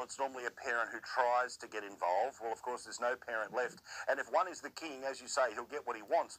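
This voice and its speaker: Bright-voiced, opinionated male news host. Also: medium pitch, low-quality recording. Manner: blunt, emphatic. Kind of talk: opinionated broadcast interviewing.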